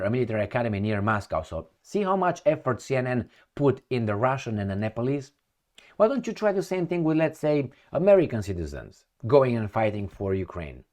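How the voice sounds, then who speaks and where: Sarcastic middle-aged man with a Romanian accent. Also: medium pitch. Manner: sarcastic, profane, with rhetorical questions. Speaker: political commentator. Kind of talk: ranting commentary.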